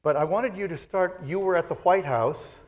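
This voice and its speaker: A man hosting a conference stage interview, introducing his guest warmly and guiding the talk with long questions. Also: high voice, moderate pace. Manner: upbeat, effusive, guiding questions. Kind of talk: onstage interview. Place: conference stage with a live audience.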